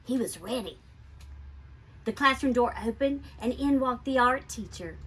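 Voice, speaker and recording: A woman reading a children's picture book aloud like a schoolteacher, expressively and with deliberate, emphatic stresses. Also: on the high side, expressive, a bit bright, rough recording.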